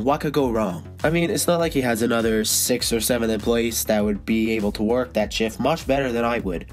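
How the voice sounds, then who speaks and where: A young man with a cold and a stuffed-up voice, telling a story in a casual vlog with dry sarcastic asides. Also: lowish voice. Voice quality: stuffed-up nasal voice. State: has a cold. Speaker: vlogger.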